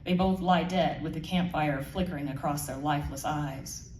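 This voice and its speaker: A woman's voice: deep voice, moderate pace, a little mellow. A woman giving a live scripted narration of her audio drama, heard in a roomy space away from the mic. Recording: echoey room, distant mic, faint noise.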